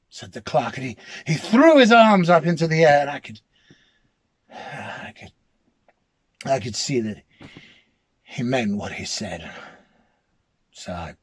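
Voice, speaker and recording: An actor performs a first-person tale at a slow, deliberate pace. His voice is husky and breathy. He halts and repeats words as he goes, in a dramatic storytelling style. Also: mid-range voice. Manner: hesitant, halting, repeating words.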